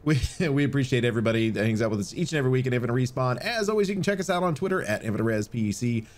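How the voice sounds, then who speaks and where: A man's voice: medium pitch, animated, rapid-fire, a little hiss or hum. A man co-hosting a podcast in casual, jokey banter, often sarcastically acting out imagined dialogue. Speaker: podcast co-host.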